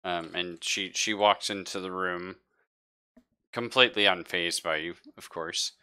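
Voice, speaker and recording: A man narrating a live tabletop roleplaying game as game master, in a halting, conversational way. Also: deep voice, steady tone, bright, forceful tone, clear, resonant tone.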